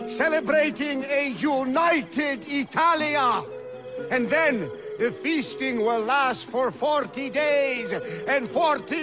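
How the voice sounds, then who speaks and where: A man's voice: very high voice, full character voice. A man playing a proud, grandiose military commander in a video game, declaiming like a speechmaker hungry for conquest.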